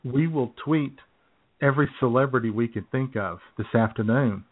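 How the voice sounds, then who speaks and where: A man co-hosting a casual talk show, his voice sitting at an ordinary pitch for a man. He rambles easily along and trails off mid-thought. The sound is narrow and phone-like. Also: normal speed, a little hiss or hum. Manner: rambling and easygoing, with many fillers and restarts. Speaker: co-host.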